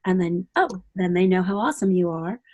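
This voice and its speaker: A woman's voice: lowish voice, expressive, brisk, a little mellow, no room sound. A woman hosting a casual webinar interview, asking questions hesitantly with many fillers and a playful turn. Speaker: host.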